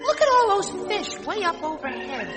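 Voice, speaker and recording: A woman's voice: sits high, very animated, moderate pace. A woman playing a plucky, wide-eyed young boy adventurer in an echoey 1940s children's radio drama. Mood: awed. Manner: wide-eyed, exclamatory, boyish. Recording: echoey old radio with music behind.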